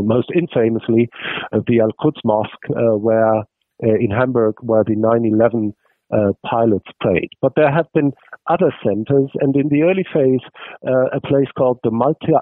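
A man's voice: medium pitch, no room sound. Man on a tinny phone line. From Germany. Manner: hesitant, many fillers and restarts, explanatory. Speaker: expert guest. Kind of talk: radio interview answers.

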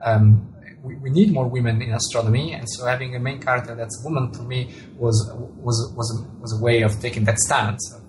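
A man's voice: medium pitch, fairly even intonation, brisk, background noise. A man with an Italian accent, an author answering interview questions thoughtfully, often repeating words and restarting his sentences. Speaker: author and astronomer.

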